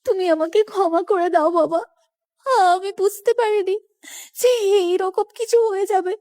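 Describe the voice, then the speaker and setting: An actress playing an emotional, remorseful young daughter in a horror cartoon story. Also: female voice, very high voice, normal speed, mellow, dry recording. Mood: remorseful pleading. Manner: pleading, emotional.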